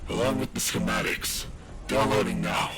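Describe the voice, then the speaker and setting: A man's voice: low-pitched, moderate pace, gravelly. An actor playing a sneering, boastful adult male droid villain in a fan audio drama, mocking and threatening. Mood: taunting. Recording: loud music underneath.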